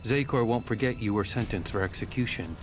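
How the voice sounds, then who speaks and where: A man playing a wary, proud rebel soldier in a video game, speaking in a measured, formal and distrustful way. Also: fairly low pitch, natural voice. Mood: guarded, matter-of-fact. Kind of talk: video game cutscene acting. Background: music.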